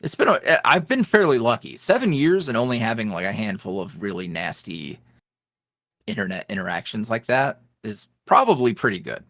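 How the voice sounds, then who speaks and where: A man's voice: lowish voice, very animated, moderate pace, fairly bright tone, dry recording, clean studio sound. A man being interviewed as a guest, chatting casually and telling rambling stories with frequent laughs. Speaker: ASMR roleplay creator, podcast guest.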